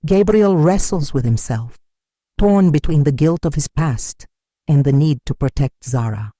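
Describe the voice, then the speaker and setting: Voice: medium pitch, warm tone, no room sound, studio quality. A narrator telling a dramatic folktale in a solemn, scripted storytelling style.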